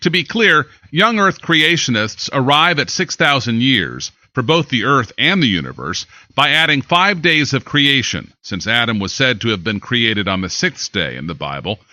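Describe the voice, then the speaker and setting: An American man reading scripted news as an anchor, with an emphatic, deliberate newsreader delivery. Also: mid-range voice, sing-song, bright, forceful tone, dry recording.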